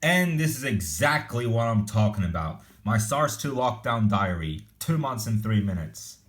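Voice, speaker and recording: An eighteen-year-old man chatting casually and excitedly as he reacts to his old videos, laughing often and rambling. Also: lowish voice, normal speed, roomy sound. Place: small hot room without air conditioning.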